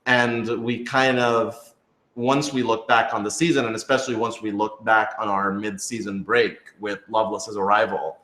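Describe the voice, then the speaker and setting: A man's voice: lowish voice, level tone, normal speed, pushed and bright. A man answering fan questions as a radio drama creator in an informal panel, thinking aloud and rephrasing as he goes. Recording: roomy, echoey room sound.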